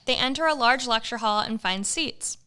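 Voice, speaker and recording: A woman narrates a comic adventure in a brisk storybook style. Her voice is bright, smooth and somewhat high.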